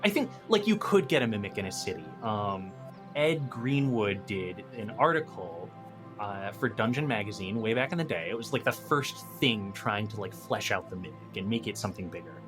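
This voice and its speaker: A man in a casual podcast discussion, stopping and restarting as he thinks out loud, with animated asides. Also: fairly low pitch, moderate pace, music in the background. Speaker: podcast guest.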